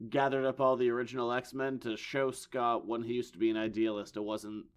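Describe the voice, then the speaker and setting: A man hosting a casual comics podcast, hoarse from losing his voice, with dry wisecracks. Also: mid-range voice, level tone, fairly quick. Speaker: podcast host. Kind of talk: casual chat.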